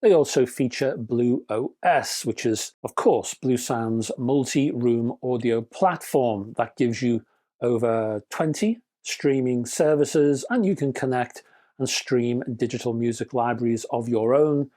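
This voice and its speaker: A man at a middling pitch presents hi-fi news, working through specs and prices in a steady scripted read. He drops in dry, wry jokes and calls his viewers folks. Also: unhurried. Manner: dry, wry humor between spec readouts; chatty, addresses viewers as folks. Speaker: hi-fi news channel host. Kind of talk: scripted news roundup with asides.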